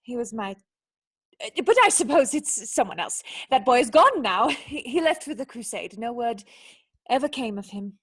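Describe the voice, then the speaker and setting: Radio play actress for a young noblewoman: high register, formal, defensive, secretly knightly and proud. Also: expressive, normal speed, a bit bright, breathy edge. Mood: flustered denial. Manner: earnest, protesting, ladylike formal speech.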